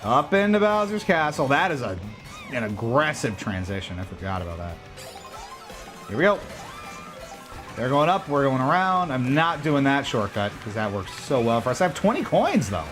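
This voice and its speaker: A man chats and jokes his way through a kart race on a livestream, his pitch bouncing all over as he reacts. He talks at an even middle pitch, with faint game music playing under his voice. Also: normal speed. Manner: joking, chatty, reacts out loud to the race. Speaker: livestream gamer. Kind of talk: casual gameplay commentary.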